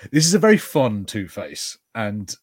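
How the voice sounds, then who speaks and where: A man's voice: lowish voice, wildly swooping pitch, normal speed, slightly rough, no echo, clean studio sound. A man co-hosting a podcast, giving casual, conversational commentary on a film. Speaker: podcast co-host.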